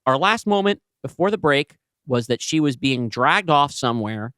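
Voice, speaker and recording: Smooth-voiced man in analytical podcast chat. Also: on the high side, lively intonation, moderate pace, sharp tone, no room sound, studio quality. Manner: analytical, encouraging. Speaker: podcast co-host. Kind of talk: casual unscripted discussion.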